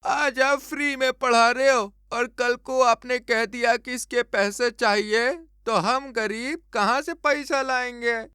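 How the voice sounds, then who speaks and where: Man putting on a high, suspicious poor villager. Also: steady tone, takes it slowly, hardly any pauses, pushed and bright, clear, resonant tone, no room sound, clean studio sound, full character voice. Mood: suspicious, plaintive. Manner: plaintive, challenging.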